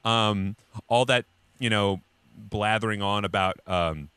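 A man chats on a comedic news podcast in a fairly low voice with a bright, forceful edge. The sound is dry and close, and he rambles colloquially. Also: slow pace, clean tone, dry recording. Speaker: podcast host. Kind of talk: casual comedic news chat.

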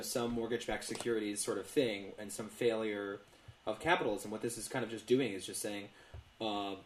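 A man's voice: mid-range voice, a little mellow. An American man co-hosting a podcast, explaining his take on politics in an earnest, casual way. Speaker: podcast co-host. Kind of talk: casual political panel discussion. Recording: echoey, roomy sound.

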